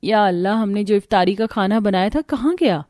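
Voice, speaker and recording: A woman playing a gentle, pleading young daughter-in-law. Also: mid-range voice, lively intonation, a little fast, no echo, clean studio sound, lightly acted. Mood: meek. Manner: polite, pleading. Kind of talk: Hindi cartoon story acting.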